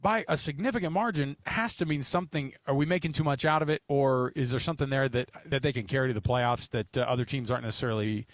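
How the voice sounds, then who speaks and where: A man's voice: mid-range voice, quick pace, hardly any pauses, no room sound. A man hosting a sports talk show, chatting casually in a rambling, emphatic way.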